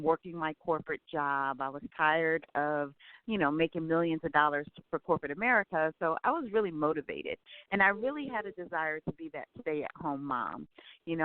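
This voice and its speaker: A woman's voice: sits low, dry recording. A woman in her forties, a guest in a phone interview, talking upbeat and enthusiastic about her business. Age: 40s. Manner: upbeat, enthusiastic, says "absolutely" often. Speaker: guest, travel business owner. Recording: tinny phone line.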